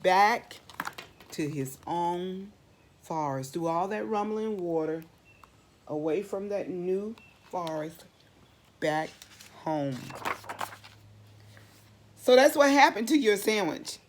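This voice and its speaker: A woman's voice: fairly low pitch, lively intonation, takes it slowly, pauses often, a little hiss or hum. A woman reading aloud to children expressively, asking the listeners questions and repeating phrases for dramatic emphasis. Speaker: storybook read-aloud reader.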